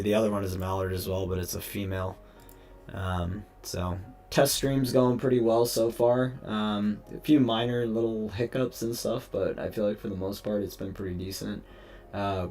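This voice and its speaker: A young man with a hoarse, gravelly voice chats casually through a live stream, explaining each step of his photo editing and answering viewers. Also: fairly low pitch. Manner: chatty, talks through each step, greets chat. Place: home room at a desk. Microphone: headset.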